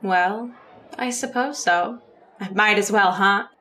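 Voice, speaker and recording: A woman acting in an old time radio drama, playing a seemingly soft young woman who is secretly a cold killer. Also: mid-range voice, slow, slight background noise. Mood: wistful, uneasy.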